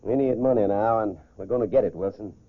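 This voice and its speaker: A low-pitched American man, an actor as a suave, self-assured swindler from a 1940s crime serial. He gives clipped, calculating orders through a narrow, phone-like old radio recording. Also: fast talker, close to an everyday voice. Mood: cool, scheming. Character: smooth, confident gentleman swindler posing as society golfer.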